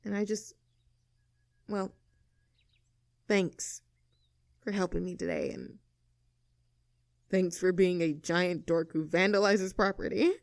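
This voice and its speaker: Woman acting a standoffish young mean girl, creaky voice. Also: lowish voice, takes it slowly, long pauses, dry recording, studio quality. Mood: softened, awkward. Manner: casual, teasing, blunt. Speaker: voice actor. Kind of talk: scripted audio roleplay, naturalistic one-sided dialogue.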